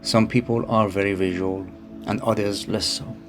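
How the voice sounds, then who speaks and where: A man narrating a guided meditation in a calm, soothing manner with unhurried phrasing. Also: on the low side. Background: music behind the voice.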